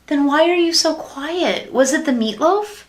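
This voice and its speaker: A chirpy, naive housewife played by a woman from a classic radio sitcom. Her high voice swings freely as she asks innocent, fretful questions. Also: expressive, measured pace. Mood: fretful, probing.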